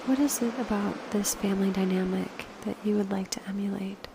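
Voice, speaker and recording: A woman leading a slow guided meditation, speaking softly and unhurriedly in short phrases with pauses, often posing reflective questions. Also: medium pitch, steady tone, background noise.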